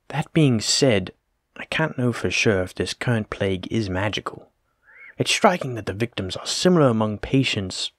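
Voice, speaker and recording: Old-world plague physician , male actor: low register, formal, polite, wordy, reassuring, close dry roleplay audio. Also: vocal fry, dry recording. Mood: musing. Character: courteous, learned male plague doctor of olden times. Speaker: physician examining a patient. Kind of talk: soft-spoken roleplay audio drama, addressing the listener.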